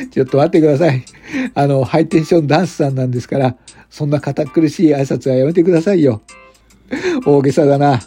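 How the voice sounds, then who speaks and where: A man's voice: a little high, brisk, long pauses, dark tone, breathy edge. A man voicing a young, friendly, unpretentious company president in an amateur radio drama. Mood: friendly, welcoming. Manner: chatty, polite, unpretentious. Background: background music.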